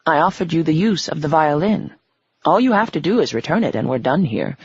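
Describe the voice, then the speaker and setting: A woman voices a wry, evasive male violinist who speaks quickly and matter-of-factly. Her husky tone carries a slight rasp on a dry, dull recording. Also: sits low, animated, no echo, heavily acted.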